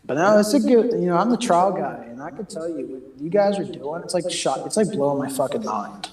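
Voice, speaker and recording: A man with a raspy voice from a cold, chatting loosely and laughing during a game raid. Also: on the high side, very animated, fairly quick, roomy sound, crowd noise. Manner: chatty, rambling, laughs often. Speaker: raid member on voice chat. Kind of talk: casual gaming voice chat.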